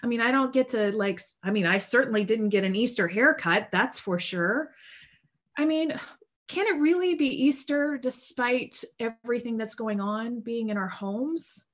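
A woman in her thirties, a priest, gives a sermon from a room at home over a video call. Her voice sits a little high, and she talks in a loose, earnest way, with fillers and self-corrections. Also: normal speed. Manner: conversational, earnest, with frequent fillers and restarts. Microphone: video call webcam.

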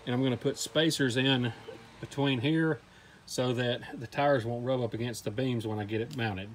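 A man's voice: medium pitch, moderate pace. Casting: man with a mid-range voice, matter-of-fact workshop host walking through a build, faint outdoor hiss behind. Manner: plain, practical step-by-step explaining with fillers. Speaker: DIY welding channel host.

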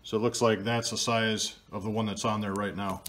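A man's voice: fairly low pitch, little pitch movement, fairly quick, fairly bright tone, a little hiss or hum. An older man from Michigan narrating a do-it-yourself repair video in a plain, practical, step-by-step way. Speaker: DIY video host. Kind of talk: casual how-to narration. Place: workshop, table of pump parts.

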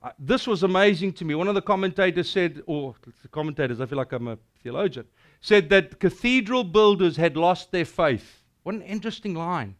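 A middle-aged man with a South African accent preaching a sermon, animated and declamatory as he tells a battle story and recites verse. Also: high-pitched, fairly quick. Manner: animated and declamatory, gesturing widely.

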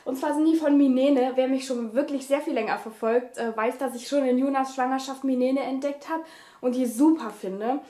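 A woman's voice: sits high, normal speed, mellow. A woman in her twenties talking casually to the camera as a family vlogger. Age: 20s. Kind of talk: casual vlog talk to camera. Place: small furnished living room, indoors. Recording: echoey room sound.

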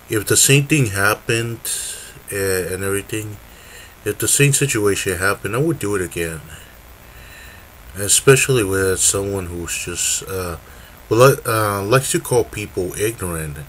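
Young male creator, low voice, hesitant and full of restarts, desk webcam in a dark room. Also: a little hiss or hum, poor audio. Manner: halting, many fillers and restarts. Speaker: video creator. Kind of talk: casual webcam announcement. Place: dim room indoors.

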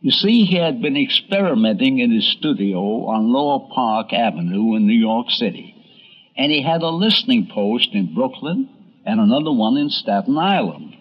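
A man's voice: mid-range voice, very animated, normal speed, breathy edge, dull audio. An older man hosting a documentary, narrating from a script in a conversational storytelling way. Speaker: documentary host and radio columnist.

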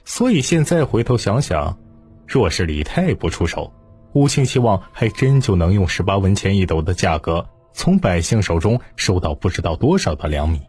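A man's voice: low-pitched, very animated, moderate pace, darkish tone, husky, faint music behind. A man narrating an audiobook as a dramatic storyteller.

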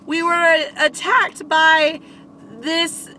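A woman's voice: extremely high pitch, little pitch movement, slow, pushed and bright. A young woman playfully improvising a bedtime story in a silly, giggly way, recorded inside a car. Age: young adult. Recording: noisy car interior, vehicle ambience.

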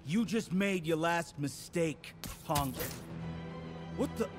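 A man's voice: fairly high pitch, lively intonation, takes it slowly, over music. A man acting a menacing street thug in a video game cutscene. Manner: threatening.

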